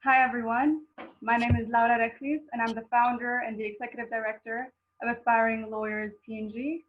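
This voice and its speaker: A woman's voice: on the high side, level tone, brisk, a little hiss or hum. A young woman from Poland, a law student, introducing herself earnestly over a video call. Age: young adult. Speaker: student project founder. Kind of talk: self-introduction in a webinar. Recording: tinny call audio.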